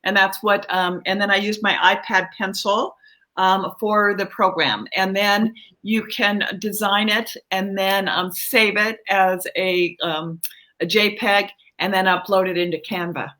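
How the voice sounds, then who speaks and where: A woman's voice: mid-range voice, little pitch movement, unhurried, bright, forceful tone. A middle-aged or older woman, a guest children's author, chatting casually and explaining things a little hesitantly. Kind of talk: casual interview chat.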